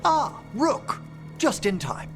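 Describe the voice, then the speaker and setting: A man's voice: very high voice, wildly swooping pitch, takes it slowly, heavily acted. An actor playing a genteel, scholarly necromancer in a video game, theatrical and precise in his courteous phrasing. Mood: scholarly delight. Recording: music behind the voice.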